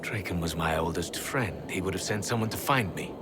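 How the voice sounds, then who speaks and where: A sorrowful, weary hero of old, played by a man with a deep voice, gentle and reflective in a game cutscene. Loud rumbling noise surrounds him. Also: brisk, lightly acted. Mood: wistful. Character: weary ancient male inquisitor, gentle and sorrowful. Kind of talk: video game cutscene acting.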